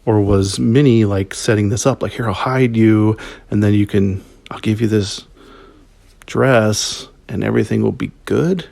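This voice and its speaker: Man, lowish gravelly voice, casual review, halting and rambling, thinking out loud. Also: fairly even intonation, no room sound. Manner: hesitant, rambling, thinking aloud. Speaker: listener giving feedback to a podcast.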